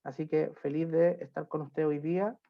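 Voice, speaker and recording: A man's voice: fairly high pitch, normal speed, vocal fry. Chatty Chilean man on a dull call. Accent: Chilean Spanish. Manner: relaxed, friendly, lots of filler "eh". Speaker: beer sommelier giving an online talk. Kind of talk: online presentation, chatty lecture. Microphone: computer mic on a video call. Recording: dull lo-fi video call audio.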